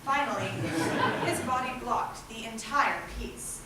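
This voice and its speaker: A woman's voice: fairly high pitch, expressive, slow. A woman narrating a scripted story as part of a readers' theatre group. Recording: echoey hall, distant mic, loud noise.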